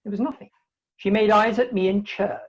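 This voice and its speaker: A woman playing a bashful, self-righteous young bridegroom in a comic radio play, speaking in a mannered, fastidious way. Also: mid-range voice, steady tone, slightly rough, natural voice. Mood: prim. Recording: dull, lo-fi video-call recording.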